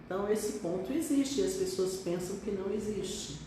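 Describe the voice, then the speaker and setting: A woman's voice: mid-range voice, normal speed, mellow, slight background noise. A middle-aged woman pediatric neurologist from Brazil, talking reflectively on a live stream with some hesitations. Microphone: phone on live stream. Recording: echoey room, distant from mic.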